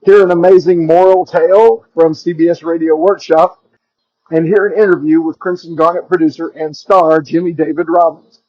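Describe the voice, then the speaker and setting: A man with a high voice introduces a podcast and reads out announcements as its host. The recording is dry and slightly dull, with little room around him. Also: fairly even intonation, moderate pace, mellow, no echo.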